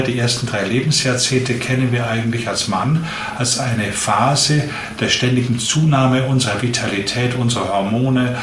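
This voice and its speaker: A man in his late fifties, a doctor, giving long, measured explanations in an interview. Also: mid-range voice, fairly bright tone, echoey room, slight background noise. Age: 50s. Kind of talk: interview answers.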